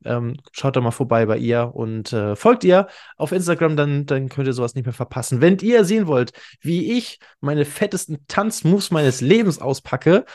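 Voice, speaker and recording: Enthusiastic man hosting, slightly high voice. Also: expressive, moderate pace, hardly any pauses, a little mellow. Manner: enthusiastic, colloquial, youthful slang. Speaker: podcast host. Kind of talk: casual podcast chat.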